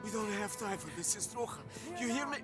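A man's voice: high voice, expressive, a little fast. An actor playing a protective, practical male Soviet soldier in a war game, speaking with urgency. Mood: pained, urgent. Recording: music under the voice. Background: music.

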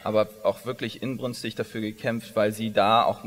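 A man's voice: fairly low pitch, flat delivery, moderate pace, a bit bright. A man in his thirties, born in Iran, speaking on stage in an interview, often stopping and restarting his sentences. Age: 30s. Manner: hesitant, many restarts and fillers. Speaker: company founder, interviewee. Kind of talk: onstage conversational interview. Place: large conference hall stage. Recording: long reverb of a big hall.

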